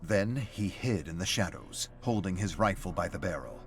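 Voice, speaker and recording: A man's voice: low voice, lively intonation, moderate pace. A man reading scripted story narration as the narrator of a game's lore. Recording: faint music under the narration. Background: music.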